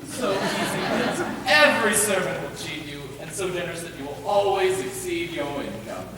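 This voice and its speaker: A man playing a wry, affectionate older gentleman father in a period stage comedy, with dry, teasing formality. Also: sits high, very animated, normal speed, over a noisy crowd. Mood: fond, teasing. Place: theatre stage. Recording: echoey stage from far off, audience noise.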